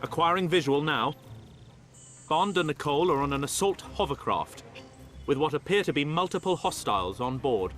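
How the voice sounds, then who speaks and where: Brisk intelligence operator, fairly high-pitched man. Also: animated, fairly bright tone, faint music behind. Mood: focused. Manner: brisk, procedural. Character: brisk, focused male intelligence operator reporting surveillance. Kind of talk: cinematic game radio report.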